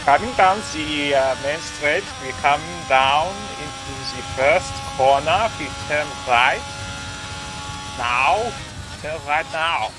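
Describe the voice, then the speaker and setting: A man's voice: on the high side, expressive, slow, noisy background. A man co-commentating on an online racing broadcast, joking, laughing often and filling gaps with uh. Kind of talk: sim racing commentary, casual banter.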